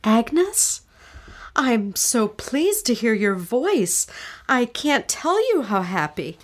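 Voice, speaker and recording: A woman's voice: fairly high pitch, big pitch swings, slow pace, full character voice. A woman playing a frightened, grieving widow at a seance, anxious and dramatic, in old-time radio drama style.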